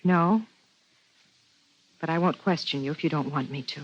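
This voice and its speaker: Thoughtful wife, vintage radio sound. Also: female voice, low-pitched, normal speed. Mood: insistent, hurt. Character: thoughtful, uneasy biologist wife narrating her story. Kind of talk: 1950s radio drama, first-person narration and dialogue. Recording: dull vintage 1950s radio recording.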